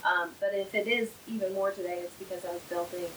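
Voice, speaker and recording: A woman co-hosting a casual podcast from home on a cheap mic, chatty and quick to laugh. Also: medium pitch, fairly even intonation, a bit bright, a little hiss or hum, rough recording. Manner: chatty, laughs easily, self-deprecating. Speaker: podcast co-host. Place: home, dog nearby. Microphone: cheap microphone.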